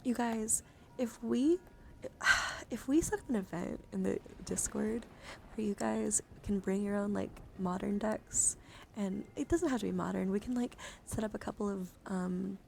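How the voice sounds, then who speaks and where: A young woman livestreaming casual ASMR card chat, with a breathy voice that often slips into whispers and nerdy, coaxing, rambling asides. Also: medium pitch, steady tone, measured pace, darkish tone. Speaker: livestreamer showing her card deck. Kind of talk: casual ASMR hand-cam chat with viewers. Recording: noticeable background noise.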